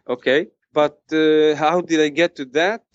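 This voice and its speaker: Smooth-voiced man, close and dry. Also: a little high, fairly even intonation, no room sound, highs cut off. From Israel. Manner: halting, many fillers and restarts, non-native phrasing. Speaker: interview guest, tailoring business owner. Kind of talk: casual interview talk.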